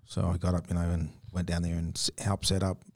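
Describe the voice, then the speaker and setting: A man's voice: low-pitched, level tone, a little fast, darkish tone. A man with an Australian accent hosting a casual podcast chat, laughing easily and restarting his sentences. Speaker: podcast host.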